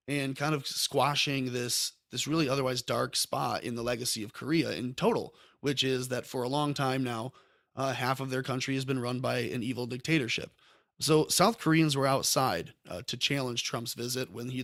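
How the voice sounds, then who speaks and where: A man's voice: medium pitch, moderate pace, gravelly, a bit bright, dry recording, studio quality. A man hosting a political talk podcast, musing at length with many ums and self-corrections. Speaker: podcast host. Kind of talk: political commentary chat.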